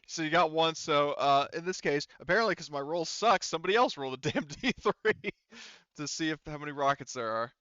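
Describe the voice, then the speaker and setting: Man with smooth, slightly muffled voice, game mastering. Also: on the high side, lively intonation, fairly bright tone, no echo. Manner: halting, thinking aloud through sums. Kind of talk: running an online tabletop game, casual. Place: remote online voice call.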